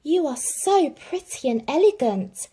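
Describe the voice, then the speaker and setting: A woman's voice: sits high, sing-song, unhurried, dark tone, voice pushed up. Woman doing a cooing, doting young wife. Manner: cooing and tender.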